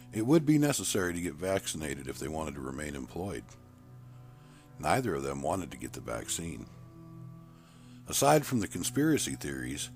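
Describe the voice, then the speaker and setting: A man with a very low voice narrates a written story in a steady, even reading tone. Soft background music runs faintly underneath.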